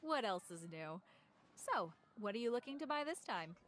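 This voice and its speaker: A woman playing a wry, polite shopkeeper in an English anime game dub, speaking in a measured way. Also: a little high, very animated, a little hiss or hum.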